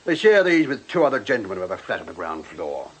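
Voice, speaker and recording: A man's voice: lowish voice, sing-song, fast talker, a little hiss or hum. An actor playing a dutiful, plain-spoken police detective in a radio drama, reporting to his superior in a deferential, methodical and mildly droll way.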